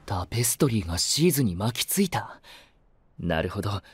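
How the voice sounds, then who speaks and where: A man's voice: fairly low pitch, big pitch swings, moderate pace, husky, no room sound, full character voice. An actor playing a courteous, earnest male knight in an anime-style voice drama, formal and polite in his speech. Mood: alert, composed.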